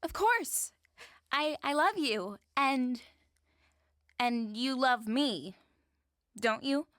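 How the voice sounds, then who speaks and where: A woman's voice: high voice, lively intonation, very slow, a bit bright, no echo, clean studio sound, heavily acted. A woman playing a doting, dangerous ex-military woman who stalks the man she loves. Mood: earnest. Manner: sweetly doting with a menacing edge. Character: obsessive ex-military female stalker, cheerful and dangerous.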